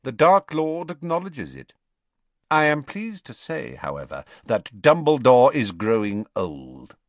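Snape, a man doing a cold measured wizard. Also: mid-range voice, huge pitch swings, no room sound, pitched above the performer's own voice. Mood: smug. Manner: measured, cool, deliberate. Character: cold, calculating male wizard, measured and guarded. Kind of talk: audiobook character voice.

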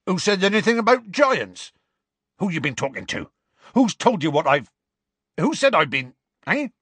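A huge, grumpy bearded half-giant from a storybook. He speaks gruffly in rustic, muttering phrases, with a husky edge and big swings in pitch, recorded very dry. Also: big pitch swings, moderate pace, a bit bright, no room sound, exaggerated voice. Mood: indignant.

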